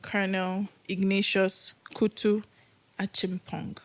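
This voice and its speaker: A woman's voice: mid-range voice, level tone, slow pace. A young adult woman from Nigeria hosting a podcast, telling a story from a script in casual chat and laughing often.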